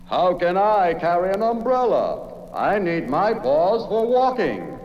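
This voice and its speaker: A man's voice: sits high, big pitch swings, slow, crowd noise, exaggerated voice. An actor playing a vain, boastful male tiger in a storybook record, theatrical and sing-song. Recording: echoey, dull old record from a distance.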